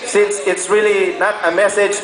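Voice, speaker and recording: A man's voice: high voice, little pitch movement, normal speed. A Filipino man in his thirties, a native Tagalog speaker, reading a letter aloud in a playful, stumbling way with joking asides. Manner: playful, stumbling, breaks into chuckles and side comments. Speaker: reader of a friend's message. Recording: echoey room with loud music behind. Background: loud instrumental music.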